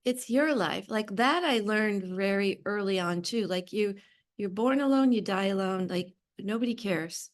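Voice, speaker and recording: A woman's voice: medium pitch, measured pace. A woman talking casually and reflectively as a podcast guest, blunt and emphatic, repeating short phrases. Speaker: podcast guest, business owner. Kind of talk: casual reflective interview talk.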